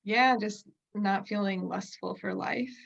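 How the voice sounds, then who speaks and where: A woman's voice: medium pitch, a little slow, creaky, muffled recording. A woman in her twenties hosting a podcast in a conversational way over a video call. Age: 20s. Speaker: podcast host. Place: indoor room.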